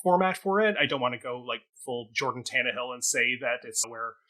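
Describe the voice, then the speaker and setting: A man's voice: mid-range voice, expressive, brisk, bright, forceful tone. A man co-hosting a theatre review talk, speaking conversationally in an articulate, wordy way with careful hedging.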